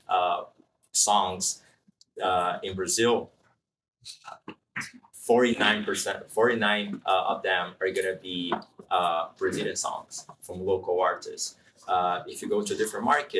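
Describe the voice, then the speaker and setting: A low, deep man answers interview questions in a relaxed, explanatory way, thinking aloud as he goes. His voice is bright and forceful despite its depth. He sounds distant from the mic, with a faint hiss in the room. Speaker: marketing executive guest. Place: room with a live student audience.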